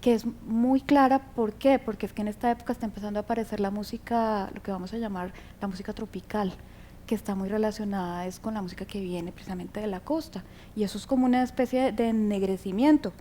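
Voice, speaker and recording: A Colombian woman speaks as a lecturer, explaining in a conversational way with frequent little check-in questions. Her tone is mellow and unforced at a medium pitch. The recording carries noticeable background noise and a low rumble. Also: fast. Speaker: guest lecturer, music researcher. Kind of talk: informal public lecture.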